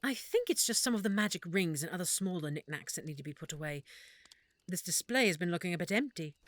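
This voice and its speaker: A woman voicing a shy, flustered half-elf shopkeeper who stammers softly and nervously. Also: fairly low pitch, lively intonation, quick pace, a little hiss or hum, natural voice. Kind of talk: audio roleplay acting.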